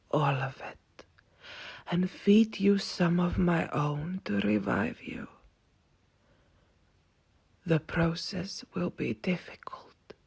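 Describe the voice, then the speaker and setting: Ancient vampire matriarch and warrior played by a woman, stern and grave, gravelly breathy voice, slow dramatic pacing for audio drama. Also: fairly low pitch, heavily acted. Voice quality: husky, breathy and creaky. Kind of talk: audio roleplay acting.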